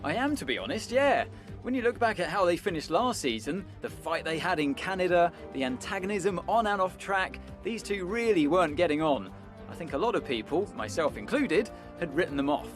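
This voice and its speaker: A man's voice: high voice, very animated, brisk, faint music behind. A man as a conversational co-commentator on racing in a video game, giving opinions in broadcast style. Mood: chatty. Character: conversational male motorsport pundit. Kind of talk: broadcast race punditry.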